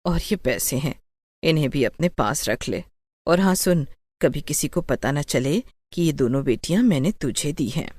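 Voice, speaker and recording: A woman's voice: deep voice, lively intonation, moderate pace, darkish tone, no room sound, voice pushed down. A woman voicing a firm, scolding older village mother in a moral story. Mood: earnest. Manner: chiding, firm. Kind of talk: dramatised Hindi moral story acting.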